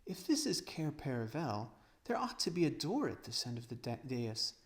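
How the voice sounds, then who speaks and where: A man's voice: medium pitch, sing-song, moderate pace. A man voicing an eager, earnest young girl in a storybook.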